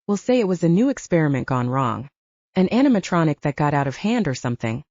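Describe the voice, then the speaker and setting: A woman's voice: low-pitched, big pitch swings, quick pace, darkish tone, dry recording, lo-fi sound, heavily acted. A woman voicing a young blonde werewolf woman, protective and reassuring, with a soothing but firm manner, in an audiobook. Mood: calm, explaining.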